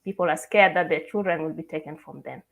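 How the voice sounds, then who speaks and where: A woman from South Sudan moderating a panel discussion, polite and measured, asking long questions. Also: low voice, vocal fry.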